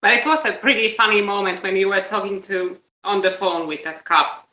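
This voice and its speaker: A woman's voice: mid-range voice, a little fast, pushed and bright. A woman giving casual news commentary as a live-stream host. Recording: roomy, echoey live-stream audio.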